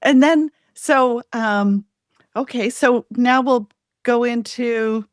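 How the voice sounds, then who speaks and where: A woman's voice: a little high, slow pace, dry recording, clean studio sound. Enthusiastic woman podcast host. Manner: warm, enthusiastic, affirming. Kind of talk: casual podcast interview.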